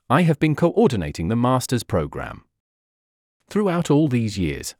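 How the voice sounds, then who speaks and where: An older man from the Netherlands giving measured, formal answers as an interviewee. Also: mid-range voice, a little mellow, no echo, studio quality.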